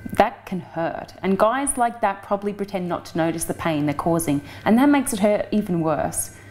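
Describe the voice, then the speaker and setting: A woman's voice: fairly low pitch, darkish tone. A woman reading a first-person novel excerpt as an actress, in a frank, matter-of-fact storytelling manner. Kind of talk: first-person audiobook narration.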